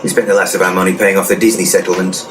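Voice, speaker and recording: An actor playing a crude, petulant, complaining paranormal investigator's sidekick in a comic audio drama. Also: male voice, low voice, little pitch movement, racing, lightly acted. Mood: sullen. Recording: distant from mic, noisy background.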